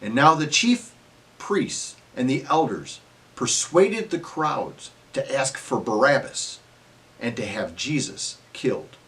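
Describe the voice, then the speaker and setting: A man's voice: medium pitch, very animated, takes it slowly, a bit bright. A man giving a scripted dramatic scripture reading as narrator, in a solemn, emphatic storytelling manner.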